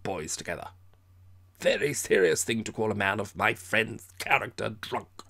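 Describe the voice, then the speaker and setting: A man's voice: lowish voice, expressive, moderate pace, gravelly, bright, forceful tone, full character voice. A man voicing a gallant army major in an audiobook, hearty and clipped, dropping small words. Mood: solemn, loyal. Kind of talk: audiobook character voice.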